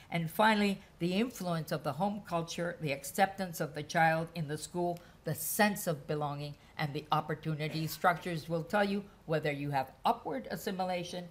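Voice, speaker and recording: Brief: female lecturer with a lowish voice, measured and methodical, stepping through her points one by one. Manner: measured, enumerating points in lists. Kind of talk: academic lecture.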